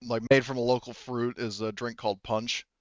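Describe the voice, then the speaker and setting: A man's voice: mid-range voice, level tone, normal speed, no echo, dull audio. A man casually narrating and bantering in a tabletop roleplaying game.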